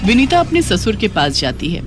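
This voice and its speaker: A female narrator tells a scripted moral tale in a medium-pitched voice. Music is clearly heard behind her storytelling. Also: sing-song, brisk.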